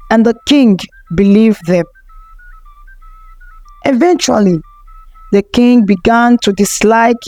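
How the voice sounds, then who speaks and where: A woman tells a folktale as a scripted narration, taking it very slowly. Her voice sits fairly high. The recording is very dry and close, with no room sound. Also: darkish tone, no echo. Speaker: storyteller.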